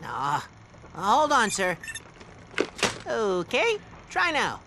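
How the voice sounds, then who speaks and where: An actor playing a small, excitable, deferential robot assistant with a squeaky voice in a video game. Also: male voice, drawn-out words, vocal fry, noisy background, exaggerated voice. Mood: eager. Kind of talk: video game cutscene acting.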